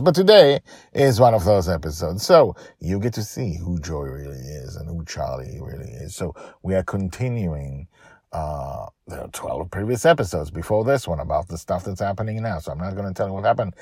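A man's voice: deep voice, lively intonation, fairly quick, darkish tone, slightly rough, dry recording, studio quality. A man hosting a storytelling podcast, talking and narrating in an emphatic way that repeats phrases for emphasis. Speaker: podcast host and author-narrator.

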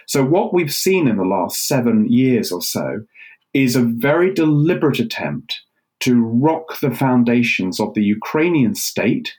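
Man giving a measured podcast answer. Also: medium pitch, a little mellow. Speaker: guest on Ukraine.

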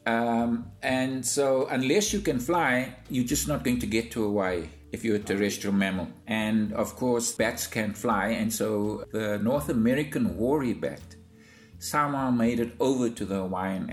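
South African man, lower register, calm expert explaining to a host. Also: unhurried, faint music behind. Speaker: zoologist guest. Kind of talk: explanatory interview answers.

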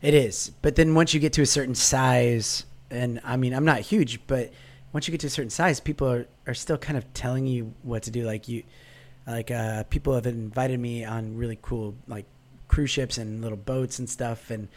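A man talks over a remote call, hoarse and raspy from a cold. He rambles in a relaxed way and slips in dry self-mocking jokes. His pitch sits right in the middle for a man. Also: normal speed. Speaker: guest, vlogger and musician. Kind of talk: casual interview chat.

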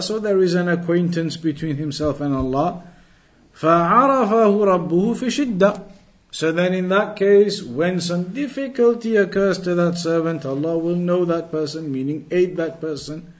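A man giving an Islamic lesson as a religious teacher, reciting from a text and explaining it in a deliberate way, repeating phrases for emphasis. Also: on the high side.

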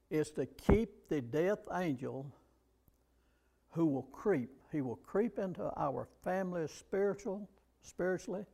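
A male preacher delivering a sermon with a gravelly, slightly raised voice. He speaks very slowly and drives each point home with emphatic rhetorical questions. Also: a little high, dark tone.

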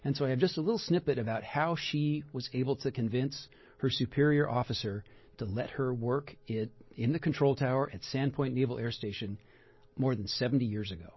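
Enthusiastic male radio host, husky. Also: mid-range voice, fairly quick, dry recording, muffled recording. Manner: enthusiastic, rambling. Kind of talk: radio talk interview.

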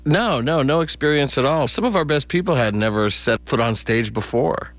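A man's voice: medium pitch, expressive, moderate pace, fairly bright tone, no room sound. A middle-aged or older man giving casual, anecdotal answers as a theater director being interviewed.

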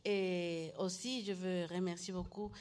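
Woman lecturing in halting French. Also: on the low side, steady tone, a little mellow. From Mexico. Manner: halting, frequent restarts and self-corrections in a second language. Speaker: guest lecturer, artist. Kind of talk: lecture with slides.

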